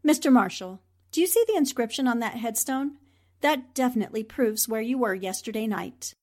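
A self-assured newspaperwoman from an old radio mystery, acted by a woman. The voice is on the high side, crisp and confrontational. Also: lively intonation, normal speed, a little mellow. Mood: confident, pointed. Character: sharp, confident newspaperwoman cornering a suspect. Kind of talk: 1940s radio drama acting.

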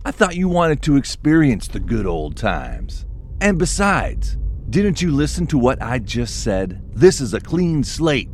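An actor playing an excitable, boastful party man in his late fifties in an audio drama. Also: mid-range voice, a little slow, a little hiss or hum. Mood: earnest, defensive.

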